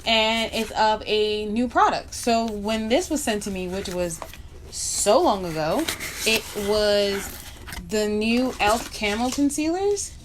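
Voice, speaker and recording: A woman's voice: mid-range voice, animated, takes it slowly, a little hiss or hum. A young woman from Barbados chatting bluntly and casually while doing her makeup for a vlog, dropping in slang asides. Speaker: beauty vlogger.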